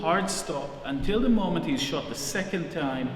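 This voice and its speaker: A man's voice: fairly high pitch, expressive, normal speed, slight background noise. Israeli man speaking in an echoing hall. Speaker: research agency director giving a narrated exhibition tour. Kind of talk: public talk, spoken off the cuff. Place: large echoing gallery space. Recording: echoey reverberant hall with audience sounds.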